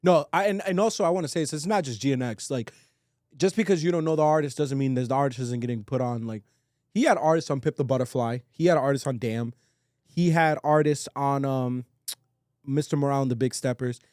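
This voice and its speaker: A man's voice: mid-range voice, a little fast, a little mellow, no room sound, studio quality. A man talking casually and with opinions as a podcast co-host, slangy and emphatic, swearing and repeating phrases for weight.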